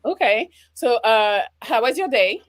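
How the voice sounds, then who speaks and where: A woman hosting a dating show, asking questions in a halting way full of fillers. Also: a little high, expressive, no echo. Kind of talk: casual interview.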